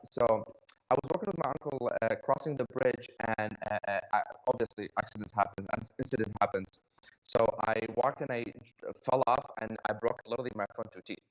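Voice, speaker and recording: A man's voice: on the low side, poor audio. A man from Iraq, in his thirties, telling stories casually as a podcast guest, often repeating words as he searches for phrasing. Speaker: podcast guest, cosmetic dentist. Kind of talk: casual conversational storytelling.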